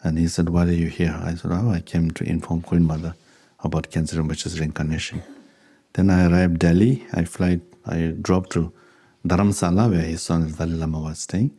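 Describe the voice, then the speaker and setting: Low, mellow-voiced man teaching with an accent. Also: flat delivery, breathy edge. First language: Tibetan. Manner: unhurried, conversational, chuckles while telling anecdotes. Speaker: Buddhist teacher. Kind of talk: dharma talk with question and answer.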